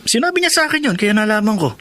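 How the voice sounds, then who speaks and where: An actor with a Filipino accent playing a greedy, cocky young schemer in a radio drama, boastful, smug and wheedling. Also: male voice, high voice, very animated, racing, bright, forceful tone, slight background noise, full character voice. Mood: scheming.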